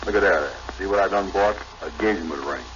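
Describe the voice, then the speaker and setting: A man's voice: deep voice, fast, a bit bright. An actor playing a simple, amorous, boastful man in a 1950s radio comedy, with folksy, ungrammatical, hesitant bluster. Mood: proud, excited. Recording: noisy, dull vintage radio recording.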